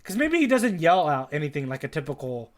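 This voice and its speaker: Man, fairly high-pitched voice, podcast co-host, casual conversational style. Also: very animated, brisk. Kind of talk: casual chat.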